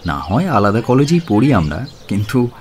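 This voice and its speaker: A male actor plays a young college student in a radio drama. The voice is fairly low but swings animatedly in pitch. He comes across as eager, courteous and talkative. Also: very animated, moderate pace, a little hiss or hum. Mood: polite, helpful. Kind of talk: Bengali radio drama acting.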